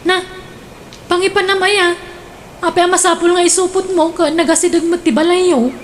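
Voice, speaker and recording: Woman playing a high-voiced, gossipy elder sister. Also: fairly even intonation, moderate pace, slight background noise. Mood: mildly chiding. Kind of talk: radio drama comedy acting.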